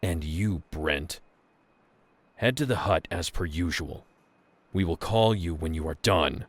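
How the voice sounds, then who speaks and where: Man acting a low-voiced, menacing gang boss. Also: lively intonation, unhurried, husky, dry recording, exaggerated voice. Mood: smug, commanding. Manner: domineering, condescending. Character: gang leader grunt, menacing, smug, bossy adult man. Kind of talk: audio drama acting.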